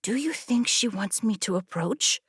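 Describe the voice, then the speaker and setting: A woman acting an eager, breathy young horse lover. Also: a little high, expressive, normal speed, dry recording, clean studio sound, pitched above the performer's own voice. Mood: breathless hope. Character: eager, awestruck young horse-loving woman, a failed trainee.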